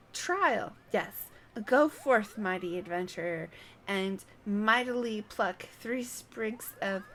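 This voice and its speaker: Woman voicing a scatterbrained little moogle alchemist, unhurried. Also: a little high, a bit bright, heavily acted. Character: helpful, scatterbrained moogle alchemist, small fluffy creature.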